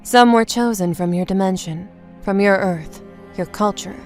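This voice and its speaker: A woman playing a serene, mystical guru of another realm in an audio comic drama, with a measured, solemn delivery. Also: medium pitch, close to an everyday voice. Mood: calm explaining. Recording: music under the voice.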